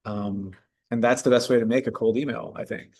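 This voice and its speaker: A man in casual video call chat, judging cold emails, relaxed and full of fillers as he thinks aloud. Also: mid-range voice, brisk. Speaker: judge reviewing cold emails.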